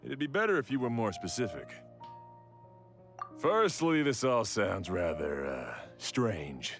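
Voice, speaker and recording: Low-voiced, expressive, earnest blacksmith. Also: male voice, heavily acted. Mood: puzzled, skeptical. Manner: measured, thoughtful, explanatory. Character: earnest, polite male blacksmith, proud of his craft. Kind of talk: video game voice acting. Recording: background music under the voice.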